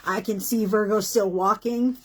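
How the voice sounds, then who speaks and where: A woman reading tarot horoscopes in a casual, encouraging way, her voice worn and hoarse from doing many readings. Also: medium pitch, steady tone, moderate pace. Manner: chatty, encouraging, many fillers like "you know" and "okay". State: losing her voice. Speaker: tarot reader.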